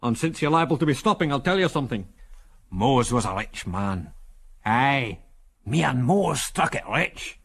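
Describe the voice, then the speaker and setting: A man's voice: mid-range voice, very animated, unhurried, fairly bright tone, slightly rough, exaggerated voice. A man putting on an old Scots prospector. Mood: mournful, resigned. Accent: Scottish. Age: older. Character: old gruff Scots prospector, homely, plain-spoken, hospitable.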